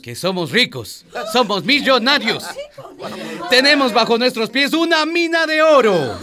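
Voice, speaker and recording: An actor in a Latin American radio drama playing a smooth-talking, boosterish town mayor who stammers evasively and chuckles. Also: male voice, extremely high pitch, sing-song, measured pace, a crowd in the background, heavily acted. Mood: grandiose, excited. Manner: hesitant stammers, chuckling, pompous salesmanship.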